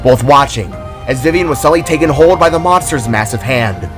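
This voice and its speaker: Male author-narrator, mid-pitched, dramatic scripted storytelling, clear music bed underneath. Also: animated, brisk.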